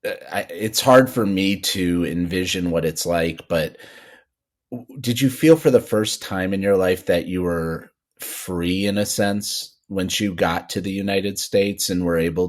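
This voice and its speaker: A host with a very low voice who speaks slowly and unhurriedly. He asks long, curious questions, feeling his way toward each point. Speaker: podcast host. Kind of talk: interview conversation.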